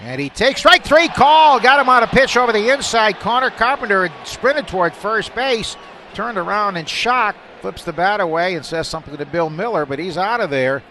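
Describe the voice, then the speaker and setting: A man calling baseball live on radio, describing each play in detail and getting excited on the big ones. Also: sits high, normal speed. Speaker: play-by-play announcer. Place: stadium broadcast booth. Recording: faint stadium noise behind a broadcast.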